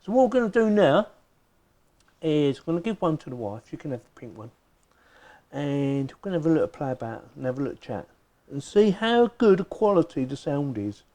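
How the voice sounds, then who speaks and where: Mellow higher-pitched English man reviewing gadgets. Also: big pitch swings, a little fast. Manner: chatty, informal, plain-spoken. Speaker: product reviewer. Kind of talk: casual hands-on product review.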